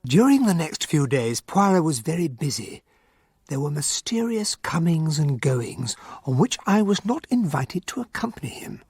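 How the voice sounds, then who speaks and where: An actor with a British accent playing a polite, wry gentleman who narrates a detective radio play as the sidekick. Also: a little high, sing-song, normal speed, slightly rough, dry recording, clean studio sound, full character voice. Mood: wry, storytelling.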